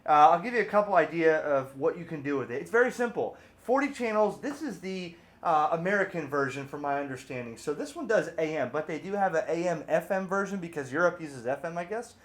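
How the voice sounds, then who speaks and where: Higher-voiced man, chatty gadget reviewer. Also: fairly quick, hardly any pauses. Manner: chatty, informal, animated rambling with emphatic asides. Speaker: video host, radio hobbyist reviewer. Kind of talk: casual off-the-cuff product review.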